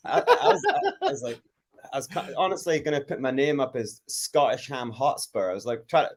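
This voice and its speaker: A man's voice: medium pitch, sing-song, a little fast. Man, fan show co-host, casual banter, stop-start rambling.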